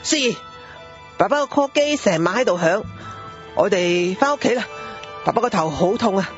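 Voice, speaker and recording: A man's voice: high-pitched, animated, normal speed. Man acting harried, sighing father, muffled lo-fi. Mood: concerned, coaxing. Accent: Hong Kong. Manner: sighing, exasperated. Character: harried, grumbling father with a pager and a headache. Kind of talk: Cantonese radio drama acting. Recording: dull lo-fi audio with background music.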